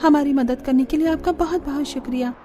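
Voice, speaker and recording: A woman playing an earnest, expressive village daughter-in-law in a cartoon story. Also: high voice, brisk, over music, natural voice. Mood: grateful, relieved. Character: village daughters-in-law, fed up yet grateful women.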